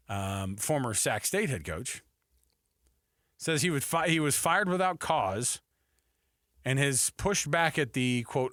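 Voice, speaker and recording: A man with a mid-pitched voice hosts casual sports talk in a very dry studio. He speaks conversationally and often repeats a phrase to drive it home. The microphone is close. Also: fairly bright tone, no room sound. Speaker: sports radio host. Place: radio studio.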